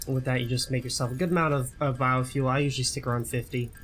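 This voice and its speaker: A man's voice: medium pitch, level tone, fast, a bit bright, faint music behind. Chatty young man explaining a game. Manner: chatty, repeats words, says "right?" often. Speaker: gaming YouTuber. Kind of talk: casual tutorial commentary over gameplay.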